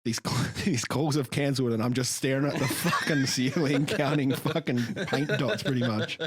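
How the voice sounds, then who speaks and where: A man's voice: medium pitch, moderate pace, breathy edge, no echo. A young Australian man, a coach and podcast co-host, telling stories casually with lots of swearing. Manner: chatty, sweary storyteller, laughs often.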